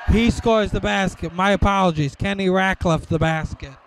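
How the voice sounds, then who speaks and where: Gravelly man calling basketball. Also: sits high, a little hiss or hum, poor audio. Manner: slow clipped calls with occasional shouting. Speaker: basketball play-by-play announcer. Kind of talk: live sports commentary. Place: school gym with crowd. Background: crowd and bouncing ball.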